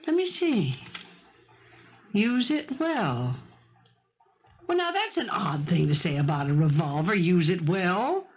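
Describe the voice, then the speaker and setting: An actress playing a composed, middle-aged housekeeper in a 1970s radio mystery, speaking formally and precisely with cool, reproving logic. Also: female voice, fairly low pitch, very animated, takes it slowly, long pauses, husky, heavily acted. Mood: curious. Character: composed, firm, sensible housekeeper to a rich man. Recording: dull, noisy old radio broadcast tape.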